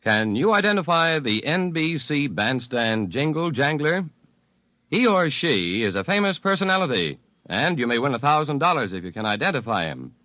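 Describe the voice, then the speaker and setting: A man's voice: medium pitch, very animated, moderate pace, pushed and bright, dry recording. A man reading a scripted promo as a 1950s radio announcer.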